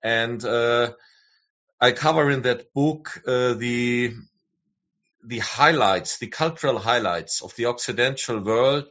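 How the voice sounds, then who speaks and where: A man's voice: medium pitch, slow pace, fairly bright tone. A German man with a German accent, speaking English as a second language, hesitant and full of filler sounds, as a guest author. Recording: dull lo-fi remote audio.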